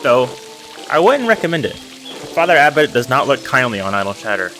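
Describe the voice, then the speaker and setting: A man plays a kindly old monk from a narrative game. He is fatherly and humble, speaking in a measured, reassuring way over clearly audible music. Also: medium pitch, big pitch swings, pushed and bright. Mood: friendly. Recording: music under the voice.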